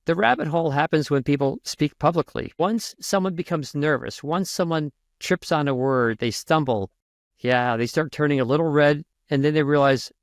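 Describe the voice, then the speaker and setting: Animated man, joking guest coach. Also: moderate pace, no room sound, studio quality. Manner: animated and joking, big pitch jumps for emphasis. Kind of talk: conversational interview.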